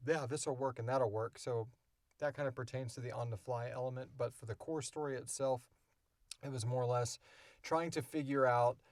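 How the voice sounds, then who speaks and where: A man hosts a podcast in a casual, conversational style. His voice sits at an average pitch and he rambles informally through his answers. The sound is dry, with little room in it. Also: no room sound. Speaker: podcast host and audio drama creator. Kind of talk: casual chat answering listener questions.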